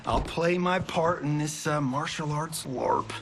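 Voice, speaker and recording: An actor playing a cocky, glib Hollywood action star in a video game, with an American accent and a sarcastic, theatrical manner. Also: male voice, a little high, slow, music in the background. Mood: amused, dismissive. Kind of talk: cinematic video game dialogue.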